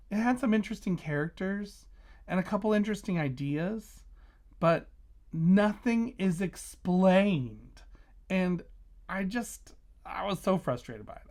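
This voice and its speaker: A man's voice: on the high side, creaky. A man hosting a casual book review vlog, talking conversationally and emphatically, stressing and repeating key words.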